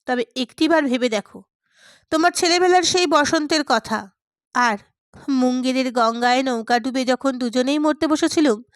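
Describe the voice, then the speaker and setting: A woman's voice: high voice, moderate pace, no room sound, studio quality, lightly acted. A woman voicing a passionate, stubborn young man who speaks earnestly and emphatically to his dear friend in an audiobook. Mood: impassioned, hurt.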